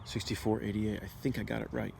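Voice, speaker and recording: A man speaking earnestly and deliberately as a caller to a political office, with halting, emphatic phrasing. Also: on the low side, flat delivery, vocal fry. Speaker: caller, activist in health and healing. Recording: noisy amateur recording of phone call.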